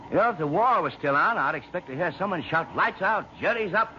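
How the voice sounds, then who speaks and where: An affable Australian army officer in vintage radio drama. His voice sits a little high and swings freely, with clipped, slangy, chummy phrasing. The old recording is muffled and clearly noisy. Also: animated, fairly quick, sharp tone. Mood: musing.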